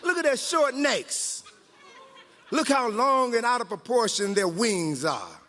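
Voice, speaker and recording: A man acting out a jeering, high-pitched barnyard chicken. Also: sing-song, a little slow, pushed and bright, big-room sound, exaggerated voice. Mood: mocking, jeering. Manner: taunting, laughing, colloquial. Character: mocking, jeering barnyard chickens picking on baby eagles.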